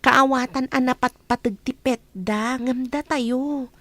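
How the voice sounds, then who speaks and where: A woman's voice: high voice, fairly even intonation, slow pace, a bit bright, no room sound. A woman playing a humble young housemaid. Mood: wondering. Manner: polite, deferential. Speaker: new housemaid. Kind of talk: radio drama acting.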